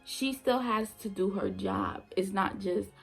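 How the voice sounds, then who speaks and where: A woman's voice: mid-range voice, big pitch swings, unhurried, gravelly, soft background music. A young woman, a former nursing assistant, telling her story in a casual vlog, blunt and emphatic, repeating her points for effect.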